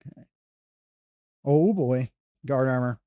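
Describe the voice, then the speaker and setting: A man's voice: mid-range voice, takes it slowly, no echo, muffled recording. A man giving casual gameplay commentary as a speedrun streamer, rambling and correcting himself. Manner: rambling, self-correcting banter.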